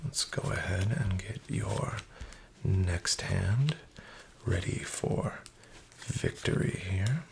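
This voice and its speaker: A man in a soft-spoken roleplay as a smooth, flattering casino dealer, often whispering and slyly nudging the listener to bet more. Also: deep voice, slow, background noise. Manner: whispery, with much unvoiced soft speech. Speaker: ASMR roleplay creator playing a casino dealer.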